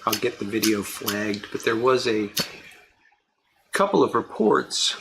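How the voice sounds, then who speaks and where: A man's voice: on the low side, big pitch swings, measured pace, creaky, fairly bright tone, slight background noise, poor audio. A man hosting a livestream, chatting casually and answering viewers by name. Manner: chatty, answers chat comments by name, laughs easily. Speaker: livestream host reading viewer chat. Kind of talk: casual live chat.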